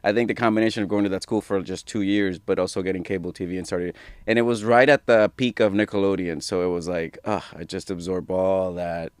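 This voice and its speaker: A man's voice: low voice, steady tone, brisk, smooth, no echo. A man from Puerto Rico, a podcast guest, chatting casually and slipping easily into Spanish. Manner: bilingual, switches into Spanish. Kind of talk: casual chat.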